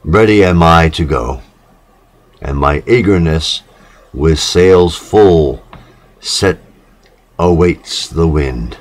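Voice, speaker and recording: A man giving a solemn literary reading, measured and dramatic, with pauses between short phrases. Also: low voice. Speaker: reader of poetic literature.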